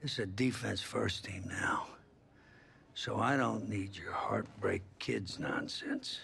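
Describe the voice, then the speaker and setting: A no-nonsense college football coach in a video game. His voice is low and gravelly, and he lays down the law bluntly. There is some background noise behind him. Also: takes it slowly, bright, forceful tone, full character voice. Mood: stern. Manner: blunt, authoritative. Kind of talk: video game cutscene acting.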